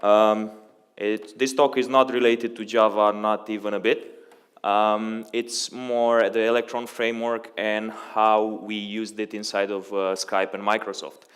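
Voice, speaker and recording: A man's voice: on the low side, flat delivery, a little slow. A young Romanian man giving a conversational technical conference talk, with frequent ums and uhs. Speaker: conference speaker. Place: conference hall. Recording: long hall reverb.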